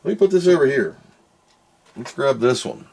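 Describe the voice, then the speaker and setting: A man's voice: mid-range voice, sing-song, normal speed, creaky, darkish tone, slight background noise. A man doing casual hands-on product commentary, muttering to himself as he works and reading out screens. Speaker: product reviewer doing an unboxing and test.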